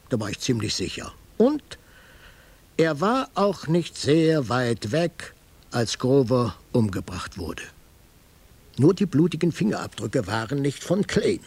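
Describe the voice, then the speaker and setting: Gravelly hardboiled detective. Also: male voice, on the high side, a little mellow, full character voice. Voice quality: gravelly, husky. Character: hardboiled male private detective narrating his case. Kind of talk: radio crime drama narration.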